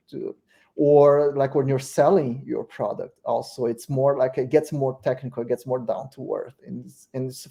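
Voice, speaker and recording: A man's voice: medium pitch, creaky, mellow. A man with a Portuguese-speaking accent hosting an interview, speaking English as a second language and hesitating with many fillers. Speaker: podcast host. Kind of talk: casual interview chat.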